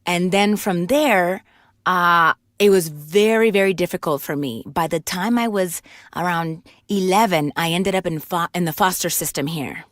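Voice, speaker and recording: A woman's voice: on the low side, moderate pace, a bit bright, dry recording, studio quality. A woman in her forties from Puerto Rico, telling her life story candidly in a casual interview, with many restarts. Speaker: guest voice actor and singer.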